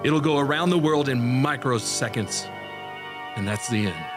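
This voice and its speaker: Male, actor playing desperate test pilot in radio drama, mid-range voice, halting and pleading, music underneath. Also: medium pitch, natural voice. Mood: dazed, solemn.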